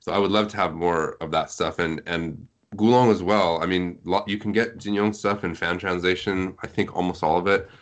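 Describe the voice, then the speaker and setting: A man's voice: low voice, fairly even intonation, moderate pace. A man speaking as a podcast guest in casual conversation, explaining things in a talkative way. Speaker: guest on a podcast, web novel translator.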